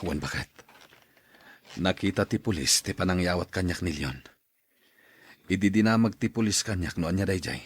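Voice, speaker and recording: A man's voice: sits low, moderate pace, pauses often, husky. A man playing a wary older village father in an audio drama. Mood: worried.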